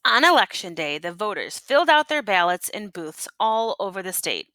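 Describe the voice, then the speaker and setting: Bright-voiced woman reading a storybook aloud. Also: mid-range voice, sing-song, normal speed, no room sound. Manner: teacherly, prompting listeners with questions. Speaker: teacher reading a picture book aloud to children. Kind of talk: expressive read-aloud storytelling with asides and questions.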